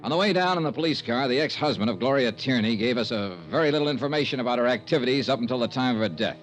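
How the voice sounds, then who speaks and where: A man's voice: medium pitch, expressive, very fast, faint music behind, lightly acted. A man playing a tough, wry insurance investigator in 1950s radio style, clipped, dry and sardonic. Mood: dry, businesslike. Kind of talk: 1950s radio detective acting. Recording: noisy vintage radio recording.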